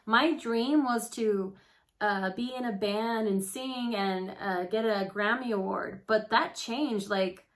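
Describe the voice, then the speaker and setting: A woman's voice: a little high, takes it slowly. A woman in her late thirties co-hosting a casual chat, speaking informally with plenty of "like" and "you know". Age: late 30s. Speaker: co-host of a witchy chat channel. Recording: faint background noise.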